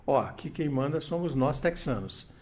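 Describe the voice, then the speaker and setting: A man's voice: a little high, lively intonation, normal speed, darkish tone. An older man, an interview guest telling radio anecdotes with many restarts and quoted dialogue. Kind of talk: casual storytelling interview.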